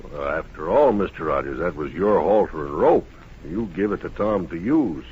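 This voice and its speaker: A dull, old-time radio recording of a Western sheriff, with a lowish husky voice. He is steady and folksy, putting his questions in a measured, matter-of-fact way. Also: heavily acted. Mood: firm, questioning. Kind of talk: 1950s radio Western acting.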